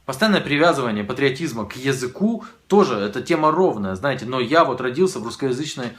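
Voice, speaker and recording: Male blogger, ironic voice-over commentator, mid pitch, deliberate. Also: lively intonation. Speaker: video blogger commenting on street interviews.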